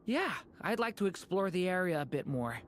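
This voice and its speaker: A woman's voice: lowish voice, big pitch swings, normal speed, a little hiss or hum. A woman voicing an earnest, wistful young dragon boy in a video game, innocent and plain-spoken. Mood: curious. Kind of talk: video game drama acting. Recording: loud music behind the voice. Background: music.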